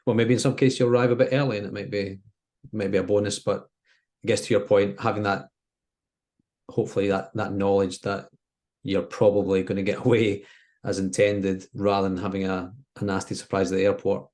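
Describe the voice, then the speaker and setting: A man with a fairly low voice and a flat, even intonation. He talks casually as an expert co-host, measured and a little tentative in how he puts his points. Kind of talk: casual discussion.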